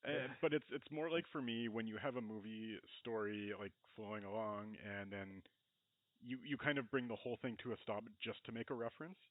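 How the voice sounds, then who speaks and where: A man with a fairly low voice talks as a podcast co-host in a casual discussion. He explains his reasoning in an informal way, peppered with "like". The sound is dry and narrow, as if over a phone. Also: brisk, no room sound. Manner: explanatory, frequent "like".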